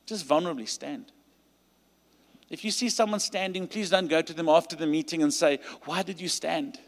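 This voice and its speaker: A man with a South African accent preaching a sermon and leading a call to stand, his delivery rising to emphatic peaks and dropping to quiet pleading prayer. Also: fairly high pitch, normal speed. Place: large church hall. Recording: long hall reverb.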